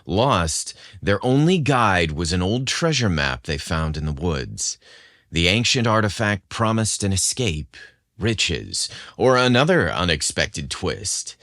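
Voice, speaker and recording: A man who hosts a podcast, narrating scripted stories in a measured, theatrical way. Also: low-pitched, fairly bright tone, dry recording, studio quality.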